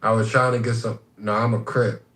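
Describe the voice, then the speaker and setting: A man in a heated group voice chat, talking in laid-back, drawn-out street slang. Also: fairly low pitch, flat delivery, a bit bright, reverberant. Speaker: voice chat participant.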